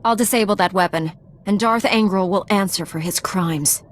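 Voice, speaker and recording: An actress playing a determined, heroic Jedi Knight in a video game, with a firm delivery. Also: female voice, medium pitch, normal speed, a little hiss or hum, natural voice. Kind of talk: scripted video game acting.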